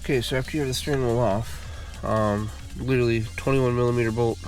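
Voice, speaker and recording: A congested man hosting a car repair channel, giving a relaxed, casual how-to walkthrough full of fillers while working on a car. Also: mid-range voice, a little fast, soft background music. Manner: relaxed, filler-heavy explaining.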